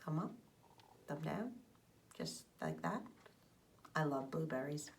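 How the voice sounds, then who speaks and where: A woman teacher reading a children's storybook aloud, expressive, explaining things to young listeners and asking them questions. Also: deep voice, takes it slowly, a little hiss or hum.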